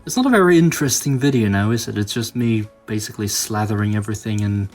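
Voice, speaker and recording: A man giving casual, hands-on tutorial commentary as a video host, musing aloud in halting phrases. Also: on the low side, darkish tone, soft background music. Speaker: toy customizing video host.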